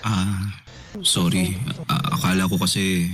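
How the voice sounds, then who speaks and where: A man's voice: low-pitched, level tone, takes it slowly, noisy background. An actor playing a shy, eager man in his thirties who stammers nervously and laughs things off in a radio drama. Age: 30s.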